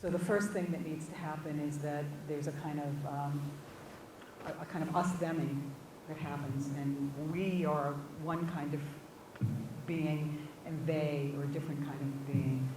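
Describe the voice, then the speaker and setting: A woman guest speaker thinking aloud in an informal academic discussion, halting and hedging as she repeats words. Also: deep voice, fairly even intonation. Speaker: visiting guest speaker answering audience questions. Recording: echoey room, distant mic, clear background noise.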